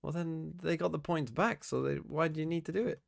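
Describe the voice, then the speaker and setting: A man's voice: fairly high pitch, fairly even intonation, a little fast, gravelly, no room sound, studio quality. A man streaming live, chatting casually and muttering to himself as he plays. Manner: muttering to himself while playing.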